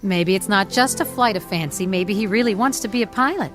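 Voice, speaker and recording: An actress playing a gentle, supportive mother in an audio drama, reassuring and lightly teasing. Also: mid-range voice, a little fast, over music, lightly acted.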